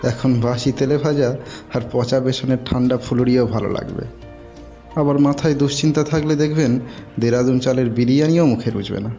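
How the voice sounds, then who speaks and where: An actor playing a chatty, opinionated, teasing friend, musing playfully in an audio drama. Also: male voice, medium pitch, moderate pace, dark tone, highs cut off, soft background music, lightly acted. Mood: jovial.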